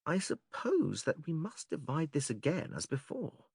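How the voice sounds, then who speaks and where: A man playing a patient, methodical philosopher who leads an argument in a dramatised classical dialogue, measured, formal and questioning. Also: on the high side, huge pitch swings, creaky, darkish tone, husky, no room sound, clean studio sound, heavily acted. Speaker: audiobook actor reading philosophical dialogue.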